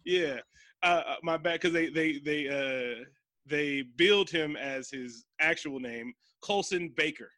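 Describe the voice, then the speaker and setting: A man's voice: on the high side, a little slow, pushed and bright, no echo. A man co-hosting a podcast, chatting casually about a movie in a loose, joking way and swearing freely. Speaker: podcast co-host. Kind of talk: casual movie review chat.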